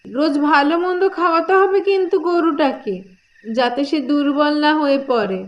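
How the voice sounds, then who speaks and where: Woman playing a high-pitched, scheming elder sister. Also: normal speed, dull audio, lightly acted. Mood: bossy coaxing. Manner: sly, coaxing, theatrical. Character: cunning, bossy elder sister, a girl scheming gleefully. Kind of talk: cartoon voice acting in a moral tale.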